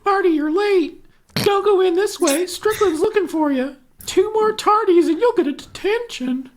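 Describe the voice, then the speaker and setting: A woman's voice: extremely high pitch, lively intonation, moderate pace, slight background noise. A woman reading the part of a supportive, earnest seventeen-year-old girlfriend at a script table read. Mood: urgent.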